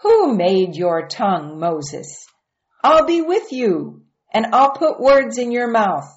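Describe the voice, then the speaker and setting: A calm, commanding divine voice gives firm, reassuring instructions, as a woman reader puts it on. The delivery is slow and measured, on a dull, muffled recording. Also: on the high side, voice pushed up. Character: God, calm patient commanding voice.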